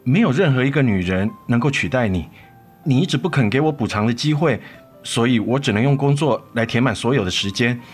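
Earnest, remorseful father, mid-pitched. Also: lively intonation, brisk, breathy edge. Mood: remorseful, pleading. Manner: earnest, gentle, coaxing. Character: remorseful, pleading father and ex-husband, tender. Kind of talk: radio drama acting. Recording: music under the voice.

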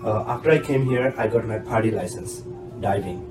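A man's voice: on the low side, brisk. A man in his thirties with an Indian accent, a guest giving frank, emphatic advice on a podcast interview. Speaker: guest, doctoral graduate. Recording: echoey room with loud music bed.

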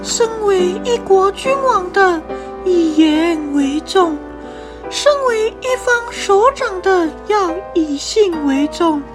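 A woman's voice: very high-pitched, animated, slow pace, full character voice. A young woman with a Taiwanese Mandarin accent playing an earnest, dutiful princess in a student radio drama, speaking formally. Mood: earnest, resolute. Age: young adult. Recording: music bed behind voice.

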